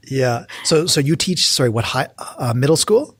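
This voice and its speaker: Woman podcast host, very deep husky voice, lively pitch, relaxed questioning. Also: moderate pace, dry recording. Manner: friendly, relaxed, asks follow-up questions with restarts. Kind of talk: casual interview hosting.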